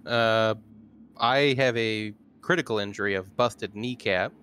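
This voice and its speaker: A man's voice: lowish voice, measured pace, fairly bright tone, smooth. A man chatting casually as a player in a tabletop roleplaying game. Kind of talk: casual chat.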